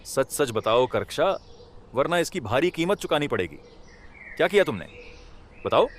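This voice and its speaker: A man's voice: fairly high pitch, very animated, normal speed, slight background noise. A man voicing a kind-hearted, emotional poor villager in a Hindi cartoon, speaking in a dramatic, pleading way. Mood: angry, demanding. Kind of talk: Hindi animated moral story dialogue.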